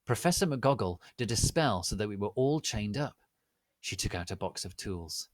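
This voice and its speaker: A man giving a dramatic, deadpan reading of a story in a deliberate, theatrical manner. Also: fairly low pitch, no room sound. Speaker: reader of a fan fiction story.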